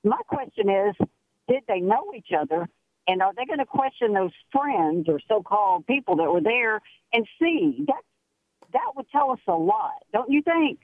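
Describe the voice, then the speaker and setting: An older woman from Tennessee calling a radio show from her car, earnest and chatty, halting with many restarts. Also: medium pitch, animated, no room sound. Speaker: caller. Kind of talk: phone-in call. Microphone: phone line. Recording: tinny phone line from a car.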